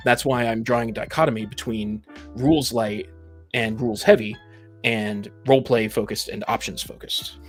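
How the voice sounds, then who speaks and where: A man with a husky, slightly rough voice talks through a point in a casual discussion. He is analytical and precise, ready to argue. Faint music plays behind him. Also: lowish voice, steady tone, normal speed. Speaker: panelist in a tabletop gaming discussion.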